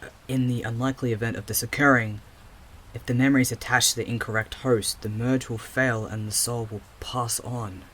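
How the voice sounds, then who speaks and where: A man's voice: medium pitch, fairly even intonation, fairly quick, noisy background, close to an everyday voice. A man acting a hesitant young man with fractured memories in an audio drama, stammering and thinking aloud. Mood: absorbed, pondering. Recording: music under the voice.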